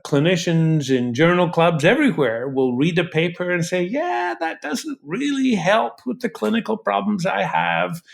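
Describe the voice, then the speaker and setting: A man speaking as an expert interview guest in a deliberate, explanatory way. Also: a little high, gravelly. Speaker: guest expert, physician researcher.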